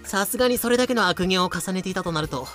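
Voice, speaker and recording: A man's voice: high voice, animated, quick pace, fairly bright tone, soft background music. A man voicing a shrewd colonial governor in an audio drama, casual, persuasive and a little condescending. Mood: smooth, businesslike.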